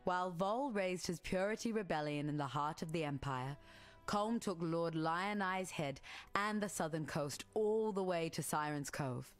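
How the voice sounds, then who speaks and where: A woman playing a stern, low-voiced warrior woman. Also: soft background music, close to an everyday voice. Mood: proud. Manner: solemn, measured, formal. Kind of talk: scripted video game character dialogue.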